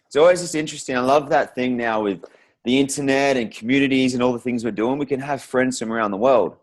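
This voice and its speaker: A man's voice: medium pitch, fast. A man hosting a conversational interview in an informal, rambling, encouraging way. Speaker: interview host.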